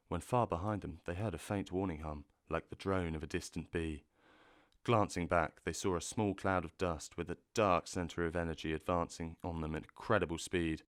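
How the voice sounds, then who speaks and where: A man narrating a classic children's story with measured, expressive delivery on a close mic. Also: low voice, clean tone, no room sound. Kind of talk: audiobook narration.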